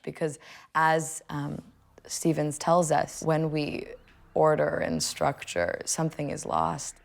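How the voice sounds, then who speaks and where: A young adult woman speaking in a thoughtful, measured way, as when reading poetry or reflecting on it. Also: sits low, vocal fry. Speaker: poetry reader and commentator. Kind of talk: poem recitation and personal reflection.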